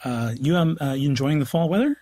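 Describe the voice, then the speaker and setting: A man's voice: a little high, expressive, fast, warm tone, dry recording. An actor playing an awkward, eager young student tutor in an audio drama, stammering and joking as he rambles. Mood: nervous, upbeat. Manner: hesitant, stammering, jokey rambling. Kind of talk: naturalistic audio drama acting.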